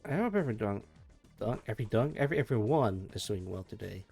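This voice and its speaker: Sleepy low-voiced man streaming. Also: quick pace, creaky. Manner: soft, meandering, mumbles to himself, trails off. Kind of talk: casual live-stream chat while working. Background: faint energetic soundtrack music.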